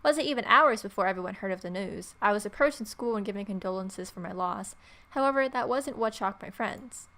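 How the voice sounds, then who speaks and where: A woman's voice: mid-range voice, brisk, pushed and bright. A woman reading story text aloud as a let's player, with irreverent, sarcastic asides.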